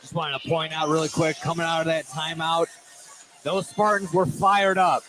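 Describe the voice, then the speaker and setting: A man with a slightly high voice works as a play-by-play basketball announcer. He restarts phrases and repeats words for emphasis. Loud gym noise runs behind him throughout. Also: flat delivery, normal speed. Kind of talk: live radio basketball commentary. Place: indoor gym at a live basketball game. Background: loud game ambience.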